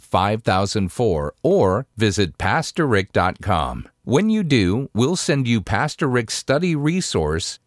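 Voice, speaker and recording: Male American announcer, lowish voice, very lively intonation, polished and courteous scripted appeal. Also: no room sound, clean studio sound. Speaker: radio programme announcer.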